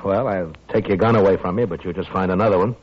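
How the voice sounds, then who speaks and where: Deep-voiced, stern frontier marshal. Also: male voice, fast. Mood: firm warning. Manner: blunt, firm, authoritative. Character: stern, world-weary frontier marshal, adult man. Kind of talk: 1950s radio western acting. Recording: dull vintage 1950s radio recording.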